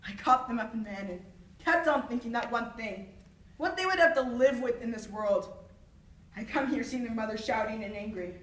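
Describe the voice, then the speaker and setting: A young woman performing a theatrical monologue as an anguished, philosophizing army officer and father, declaimed with dramatic pauses. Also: high-pitched, fairly bright tone. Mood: agitated, anguished, then troubled, brooding. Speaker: student actor. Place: echoey room, far from the microphone. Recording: roomy echo, distant microphone.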